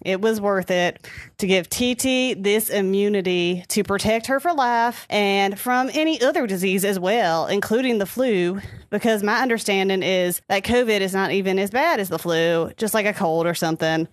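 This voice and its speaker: A woman's voice: mid-range voice, moderate pace, no room sound, lightly acted. A woman playing a breezy, clueless lady, telling folksy stories in a radio comedy monologue. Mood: breezy, proud. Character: chatty, cheerfully clueless Southern lady sharing life updates.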